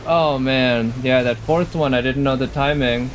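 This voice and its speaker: A man's voice: medium pitch, measured pace, noisy background. A man giving casual live commentary on a video game, thinking aloud and often questioning what is in front of him. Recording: game music behind the voice. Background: loud video game music.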